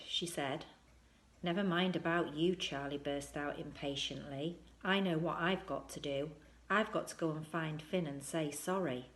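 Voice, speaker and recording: A woman with a slightly low voice reads a children's story aloud. She moves between narration and acted dialogue. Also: no room sound. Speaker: teacher reading aloud.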